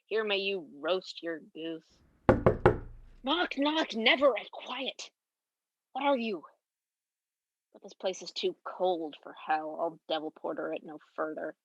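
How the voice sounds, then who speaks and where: A woman's voice: on the low side, lively intonation, slow, long pauses, fairly bright tone, dull audio, exaggerated voice. A young woman playing a drunken, bawdy, grumbling castle porter in a comic amateur Shakespeare monologue with exaggerated, tipsy delivery. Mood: grumbling, mocking. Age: young adult.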